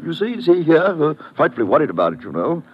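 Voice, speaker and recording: An actor playing a tweedy upper-class British gentleman, plummy, fussy and blustering, in an old-time radio drama. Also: a little high, sing-song, fast. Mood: bumbling, affable. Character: tweedy upper-class British gent, silly and two-faced. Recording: muffled, noisy vintage radio recording.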